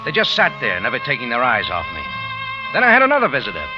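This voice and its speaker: An actor playing a tough, sardonic American cafe owner in a hard-boiled 1950s radio drama. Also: male voice, mid-range voice, wildly swooping pitch, quick pace. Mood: wry narration. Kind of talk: 1950s radio acting and narration. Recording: muffled old radio recording, loud music.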